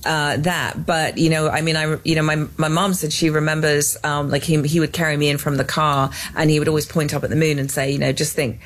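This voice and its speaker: A woman's voice: sits low, level tone, fairly quick, few pauses. An English woman in her fifties, a scientist guest in an interview, talking earnestly with many restarts and fillers. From England. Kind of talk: interview conversation.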